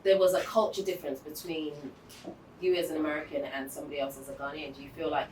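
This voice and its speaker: A woman's voice: deep voice, level tone, fast, a little mellow. A woman hosting a casual interview, asking questions and encouraging her guest with many affirmations. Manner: attentive, encouraging listener with frequent affirmations. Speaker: interview host. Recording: echoey room, distant mic, faint background noise.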